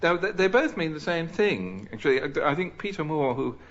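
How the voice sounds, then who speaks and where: A man's voice: fairly high pitch, sing-song, a little fast, a bit bright. A middle-aged British man chairing a radio panel game, chatting and bantering with the contestants. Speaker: quiz show chairman. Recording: dull old radio recording.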